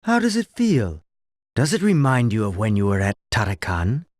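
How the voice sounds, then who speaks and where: An actor voicing a gloating, manipulative, megalomaniac villain in a video game, theatrical and taunting, with grandiose delivery. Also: male voice, medium pitch, wildly swooping pitch, moderate pace, no room sound, studio quality. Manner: taunting, grandiose, erupting into shrieks. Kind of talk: theatrical English video game dub acting. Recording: loud music under the voice.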